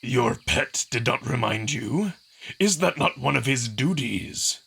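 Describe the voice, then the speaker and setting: Man voicing a cold, gravelly wolf mentor. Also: mid-range voice, animated, a bit bright, exaggerated voice. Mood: cold reproach. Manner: measured, contemptuous, commanding. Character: stern, imperious male wolf mentor, coldly controlled.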